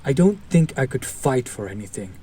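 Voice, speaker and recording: A man playing a fallen hero turned villain, an idealistic, bitter and protective former partner, speaking earnestly with dramatic pauses in an audio roleplay. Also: medium pitch, very animated, mellow, husky. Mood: resolute, tender. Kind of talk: dramatic audio roleplay monologue addressed to listener.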